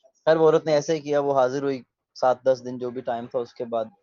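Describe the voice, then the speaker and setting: A man in his thirties in a casual video chat, relaxed and opinionated, slipping English phrases into his talk. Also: medium pitch, a little fast, dry recording. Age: 30s. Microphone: video call mic. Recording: dull lo-fi video call audio.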